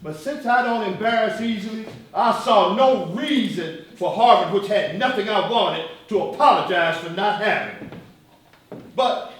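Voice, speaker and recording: An actor playing a fiery, sardonic political leader in a stage play, with an oratorical, sarcastic, theatrical delivery. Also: male voice, high voice, a bit bright. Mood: challenging. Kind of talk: one-act stage play dialogue. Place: live theatre performance, recorded from far off. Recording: distant, very echoey stage hall.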